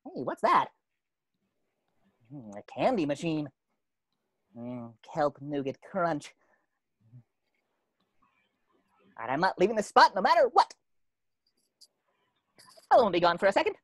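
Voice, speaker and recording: Woman as a wildly swooping, excitable cartoon sponge. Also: fairly low pitch, normal speed, long pauses, no echo. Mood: eager. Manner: theatrical, exclaiming, talking to self. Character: excitable, naive young male cartoon sea sponge. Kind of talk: amateur table read of a cartoon script.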